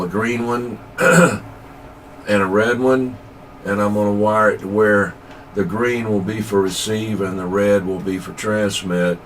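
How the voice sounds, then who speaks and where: A man chatting casually over CB radio in a folksy, halting way, searching for his words. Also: lowish voice. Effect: CB radio transmission. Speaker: CB radio operator. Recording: distant, slightly noisy CB radio transmission.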